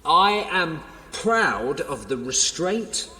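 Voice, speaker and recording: A man's voice: fairly high pitch, big pitch swings, extremely slow, sharp tone, exaggerated voice. An actor playing a defensive, placating male police official with an English accent in an audio drama. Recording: reverberant hall, distant mic.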